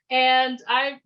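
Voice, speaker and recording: A woman telling personal memories as an interview guest, in a casual, halting, reflective way over a video call. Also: high voice, muffled recording. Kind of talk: casual storytelling, reminiscing. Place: remote virtual interview.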